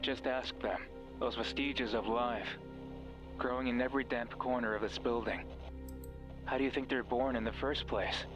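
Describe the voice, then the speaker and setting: A man voicing a mysterious, manipulative horror game figure who speaks like a menacing false friend, taunting and deliberate. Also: mid-range voice, fairly even intonation, lightly acted, poor audio. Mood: ominous. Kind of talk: dramatic horror game voice acting. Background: background music.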